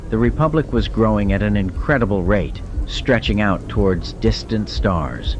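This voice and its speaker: Very deep male narrator over music. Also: dull audio. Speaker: audiobook narrator. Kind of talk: dramatic scripted fiction narration.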